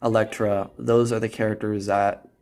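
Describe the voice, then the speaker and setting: Low-voiced teenage boy, reflective interview guest. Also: level tone, normal speed, a little mellow. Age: teens, high school senior. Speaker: student actor interviewed as a guest. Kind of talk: earnest conversational interview answers.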